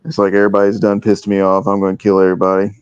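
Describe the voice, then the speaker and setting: A man with a very smooth, lowish voice and flat intonation. He chats casually, and the sound is dry and a bit dull. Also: racing, no room sound. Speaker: panelist on a livestream. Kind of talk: casual chat. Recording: dry, slightly dull audio.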